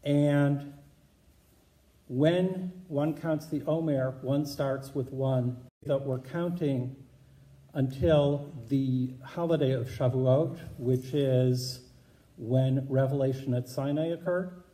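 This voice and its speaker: A man, a rabbi, giving a measured teaching talk to a congregation in a synagogue hall. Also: medium pitch, fairly even intonation, dark tone. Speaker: rabbi introducing a speaker.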